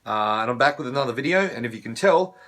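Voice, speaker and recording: Husky Australian man with laryngitis. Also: medium pitch, fast talker. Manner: relaxed, frequent filler "uh". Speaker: vocal coach. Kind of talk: teaching video.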